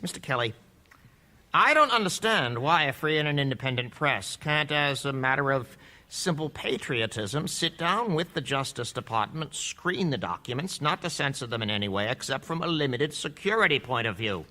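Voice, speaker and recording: A man's voice: mid-range voice, expressive, normal speed, pushed and bright. A man playing a stern, skeptical judge in a courtroom radio drama, formal and probing. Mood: challenging, troubled. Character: stern, skeptical male judge presiding over a hearing.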